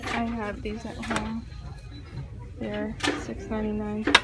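Young woman vlogger, chatty and gushing, mid-pitched voice, recorded on a phone with steady store noise behind. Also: takes it slowly. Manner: chatty, gushing over items and reading prices aloud. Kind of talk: casual shop-with-me commentary. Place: home decor stores, indoors. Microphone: handheld phone camera.

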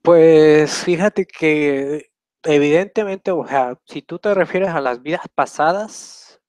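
A man's voice: fairly high pitch, slow, a little mellow, clear, resonant tone, no echo. A young man hosting a casual question-and-answer session over a video call from a small room, rambling and hesitant with many fillers. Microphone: webcam on a video call.

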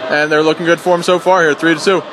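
A man with a fairly high voice reports from down by the glass, pausing and restarting as he relays the coach's words. Steady noise runs under his report. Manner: hesitant, many restarts. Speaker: rinkside analyst. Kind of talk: sideline report.

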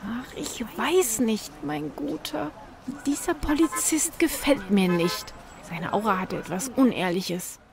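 Creaky, slow-speaking troll mystic played by a woman. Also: high voice, heavily acted. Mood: sceptical, wary. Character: thoughtful, wary troll mystic and confidante. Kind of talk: German fan audio drama acting.

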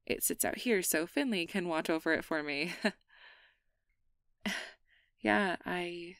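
A woman acts out a flustered young changeling woman in an intimate ASMR roleplay. Her voice sits fairly low with plenty of gravelly fry, and she speaks slowly and close in a dry space. She stammers and hedges, guarded on the surface and tender underneath. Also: fairly bright tone, no echo. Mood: awkward, casual. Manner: hesitant, flustered, stammering, downplaying.

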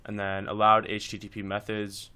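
A man's voice: lowish voice, completely flat delivery, moderate pace, sharp tone, smooth. A man presenting a casual screencast tutorial, talking informally through each step as he instructs.

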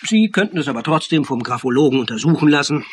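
An actor playing an insistent, methodical accuser who lays out a murder theory in pointed phrases in a German radio play. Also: male voice, fairly high pitch, animated, quick pace, slightly rough. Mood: insistent, accusing.

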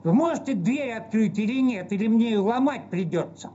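Man playing high-voiced, exasperated locked-out resident. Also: expressive, moderate pace, mellow, slight background noise. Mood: exasperated, sarcastic. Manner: irritated, sarcastic complaining. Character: exasperated, sarcastic man locked out of his home. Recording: muffled lo-fi audio with faint music.

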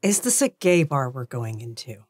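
A woman's voice: a bass voice, big pitch swings, moderate pace, darkish tone, no room sound, clean studio sound. A woman playing in a tabletop game, chatting casually and laughing often. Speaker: player.

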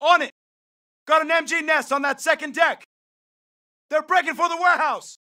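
An actor playing a fierce, terse yelling combat callouts in a video game. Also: male voice, very high-pitched, steady tone, normal speed, exaggerated voice. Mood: urgent shouting. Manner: forceful, terse. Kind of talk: shouted video game battle chatter.

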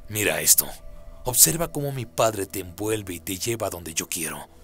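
A man's voice: sits low, animated, moderate pace, vocal fry, fairly bright tone, soft background music, heavily acted. A man voicing a proud, sly fallen angel in a radio play, taunting and persuasive.

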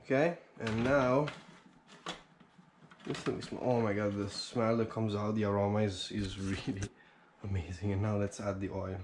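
A man's voice: lowish voice, fairly even intonation, moderate pace. Chatty man filming on a head camera. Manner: chatty, upbeat, thinking aloud with fillers. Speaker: home cook vlogger. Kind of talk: casual cooking commentary. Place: home kitchen, indoors. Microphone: head-mounted action camera. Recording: action camera audio with clear background noise.

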